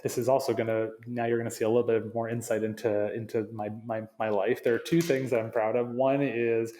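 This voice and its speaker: A man's voice: mid-range voice, flat delivery, fairly quick, mellow, slight background noise. Earnest man with slight Canadian accent. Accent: slight Canadian with New England touches. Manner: earnest, restarts phrases often. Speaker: guest, marketing executive. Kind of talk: casual interview chat.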